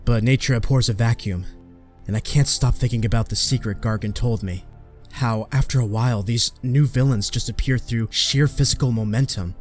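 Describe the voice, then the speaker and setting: A man's voice: medium pitch, moderate pace, darkish tone, close to an everyday voice. A man playing an earnest, guilt-ridden young superhero in a comic-book fan dub, dramatic, with music behind. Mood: reflective.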